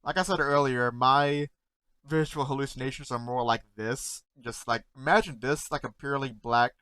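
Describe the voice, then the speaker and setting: A man in casual talk to camera, halting and stuttering, repeating words and often trailing off softly, close to the mic at home. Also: medium pitch, fairly even intonation, smooth, no echo. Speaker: content creator reacting to memes.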